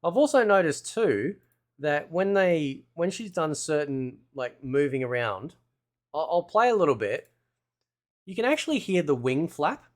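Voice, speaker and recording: A man's voice: on the high side, very animated, measured pace. A man hosting a gaming channel, giving casual trailer commentary in a rambling way, thinking aloud as he goes.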